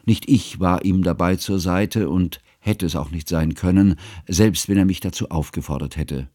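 A scholarly, scrupulous narrator in a radio play. He has a low voice and tells his friend's story in precise, ornate, reflective literary sentences. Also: moderate pace, warm tone, no echo, clean studio sound. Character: learned, scrupulous friend narrating a composer's life.

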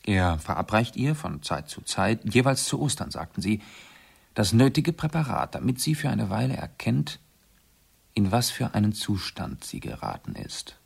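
An actor playing an urbane, self-assured aristocratic amateur sleuth in a German radio play, explaining precisely and theatrically. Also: male voice, on the low side, sing-song, normal speed, gravelly, slightly rough, exaggerated voice. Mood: savouring, dramatic.

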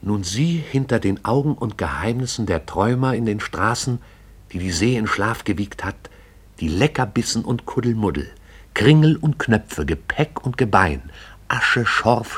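A man narrates a radio play with a slightly low, husky voice. His delivery is measured and storytelling. It is scripted narration for broadcast drama.

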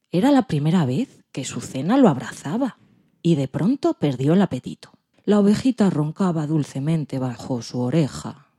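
A woman's voice: deep voice, mellow, husky. A woman with a Spain accent narrating a dramatized children's story expressively. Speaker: podcast presenter and story narrator.

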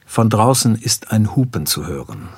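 A man's voice: fairly low pitch, sing-song, normal speed, vocal fry, darkish tone, slightly rough. A man narrating radio drama scenes from a script in a terse, matter-of-fact way.

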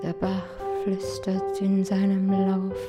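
An actress performing a wistful poem as a quiet female voice in a radio drama, with piano music. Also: medium pitch, monotone, takes it slowly, close to an everyday voice. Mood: wistful, calm. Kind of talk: slow poetic recitation to music. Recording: loud piano music around the voice.

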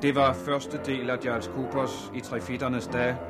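A man's voice: medium pitch, level tone. Formal male radio announcer over music. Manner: crisp, formal reading.

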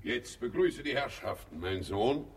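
An actor in a comic radio play voicing a pompous, ceremonious doctor and proud father, speaking in a grandiose, measured manner. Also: mid-range voice, animated, loud music behind the voice. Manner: grandiose, measured, drops Latin words.

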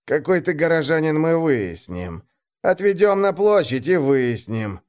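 Audiobook villain: seasoned male highwayman played by a man, mean and commanding, lively intonation. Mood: curt, threatening. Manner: gruff, commanding, measured. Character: seasoned mean half-drunk bandit leader in his thirties.

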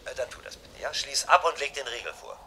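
An actor in a vintage radio crime play playing an anxious husband who insistently gives urgent, clipped instructions. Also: male voice, medium pitch, lively intonation, fast talker, sharp tone, a little hiss or hum. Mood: hushed, pressing. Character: anxious, urgent husband giving hushed orders by telephone. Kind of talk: German radio crime play acting, 1950s to 80s.

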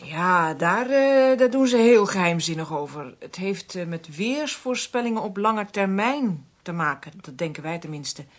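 An actress playing an earnest, polite researcher in a Dutch radio drama, explaining things a little hesitantly. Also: female voice, lowish voice, animated, a little slow, few pauses. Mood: explaining, matter-of-fact. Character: earnest female seal researcher, worried about her father.

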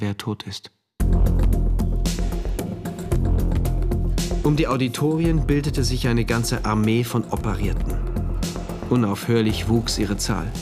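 A man's voice: on the low side, close to an everyday voice. An actor in a German radio drama narrating in the first person as an anxious, introspective, doubting man. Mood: brooding resolve. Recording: narration over loud music.

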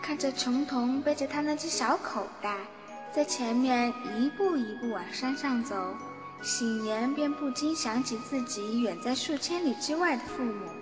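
Female drama narrator: high voice, scripted storytelling, echoey with music behind. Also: unhurried. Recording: echoey reverb under music.

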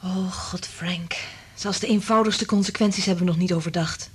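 Breathy, serious woman scientist. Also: on the low side, fairly even intonation. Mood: grave, hushed. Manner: serious, measured. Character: sober, troubled, responsible woman scientist, Liz. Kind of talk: Dutch radio drama acting.

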